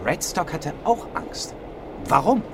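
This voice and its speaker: Curious junior cop asking questions, radio play, highish voice, traffic noise behind. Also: male voice, animated, takes it slowly. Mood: puzzled, curious. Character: inquisitive junior policeman questioning his superior. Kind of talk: German radio crime drama acting.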